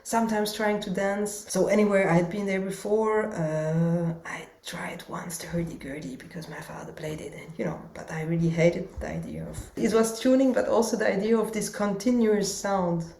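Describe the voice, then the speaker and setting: A woman's voice: medium pitch, fairly even intonation, normal speed, few pauses, a little mellow. A woman from Germany, talking in an interview and searching for her words, with frequent restarts. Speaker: guest musician and teacher. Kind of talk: casual interview conversation. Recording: echoey room.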